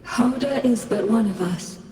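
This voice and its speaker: Cursed female spirit for a game, acted by a woman: weary, mournful, slow, swimming in long reverb. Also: fairly high pitch, dark tone, a little hiss or hum, close to an everyday voice. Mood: mournful, grave. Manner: solemn, slow, poetic lamenting. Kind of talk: video game character acting. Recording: distant, long echoing hall, faint music.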